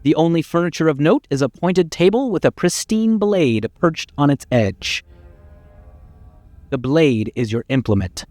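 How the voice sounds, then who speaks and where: A man's voice: fairly high pitch, lively intonation, measured pace, a little mellow, no echo, faint music behind. A man playing a condescending, exasperated narrator in a video game, articulate and dryly sardonic. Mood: solemn narrating. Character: exasperated, pompous male narrator voice guiding the hero.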